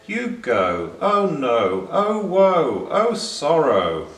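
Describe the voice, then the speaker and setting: A gentle lady sheep with a poet's turn of phrase, voiced by a man, from a children's storybook. The delivery is slow and melodic, in a roomy recording. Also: a little high, huge pitch swings, voice pushed up, exaggerated voice. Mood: sorrowful. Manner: recites in rhyme. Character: gentle, poetic female woolly sheep. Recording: roomy, distant, faint background noise.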